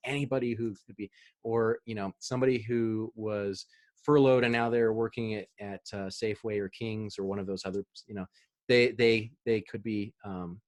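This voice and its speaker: A man presenting a marketing webinar over a video call, chatty, with fillers and easy laughs. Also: on the low side.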